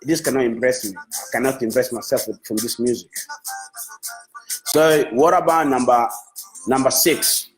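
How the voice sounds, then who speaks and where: A man's voice: mid-range voice, steady tone, moderate pace, pauses often, poor audio. A man whose first language is Swahili, a music manager talking on a Facebook live, enthusiastic and repetitive, restarting his phrases as he praises an artist. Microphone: phone.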